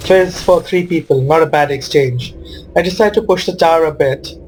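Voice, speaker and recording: Higher-voiced man doing casual game commentary. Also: moderate pace, a little mellow, rough recording. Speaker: gamer commentating on own match. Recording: noticeable background noise.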